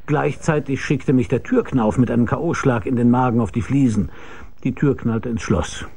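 A wry private eye tells his radio crime story in a mellow, creaky voice. He is dry and laconic, with a middling pitch and a faint noise behind him. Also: moderate pace. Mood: wry narration. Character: wry hard-boiled male private detective. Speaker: lead actor and narrator. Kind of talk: radio crime drama, first-person narration.

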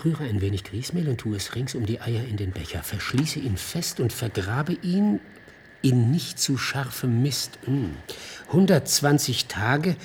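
A man's voice: medium pitch, expressive, a little slow, a little hiss or hum. An actor playing a curious, wry male investigator in a radio play. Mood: excited discovery. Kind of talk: German radio play acting.

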